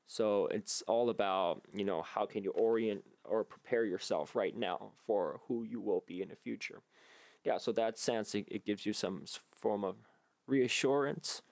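A man hosting a solo podcast, talking casually and reflectively in an earnest, halting way as he thinks aloud. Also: lowish voice, dry recording. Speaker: podcast host.

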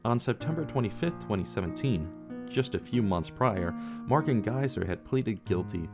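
A man giving scripted true crime narration, measured, with casual asides to the viewer. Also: lowish voice, animated, vocal fry. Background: faint guitar music.

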